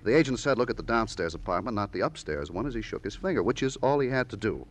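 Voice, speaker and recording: An actor playing a nosy, fidgety, talkative witness in an old radio crime drama, flippant and rambling. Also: male voice, fairly low pitch, animated, quick pace. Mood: defensive, cheeky. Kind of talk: 1940s-50s radio drama acting.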